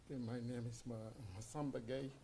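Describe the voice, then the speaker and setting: Gravelly, mellow older Senegalese man. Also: medium pitch, fairly even intonation, a little hiss or hum. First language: a language of Senegal. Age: 60s. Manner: halting, non-native English. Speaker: mourner giving a tribute. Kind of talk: informal spoken tribute, anecdote.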